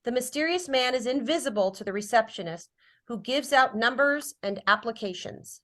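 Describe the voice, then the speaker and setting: A woman's voice: fairly high pitch, animated, moderate pace, a bit bright. A woman reading stage directions as scripted narration at a drama table read.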